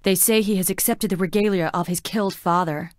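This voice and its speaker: A woman's voice: on the low side, fairly even intonation, brisk, dry recording, clean studio sound, lightly acted. An actress playing a frail, melancholy young woman in a plague-stricken town, delivering dramatic video game lines in a wistful, languid, theatrical way. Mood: anxious, hopeful.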